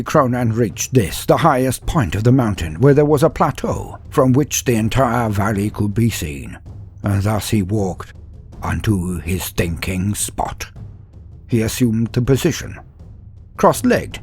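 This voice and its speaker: A man narrating a comedic mock-epic fan story in a grandiose, lively storytelling manner with dry asides. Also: medium pitch, breathy edge.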